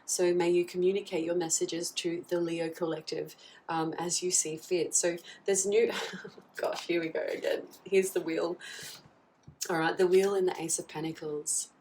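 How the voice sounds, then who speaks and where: A woman with a husky voice from a recent flu, giving a casual tarot reading and often checking in with "okay?". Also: lowish voice, level tone, moderate pace, darkish tone. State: husky, getting over a flu. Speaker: tarot reader. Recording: slightly distant mic, faint background noise.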